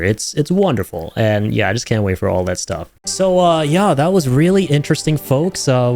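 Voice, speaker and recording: A man's voice: mid-range voice, darkish tone. A man giving casual, effusive and rambling reaction commentary as a video host, addressing his viewers directly.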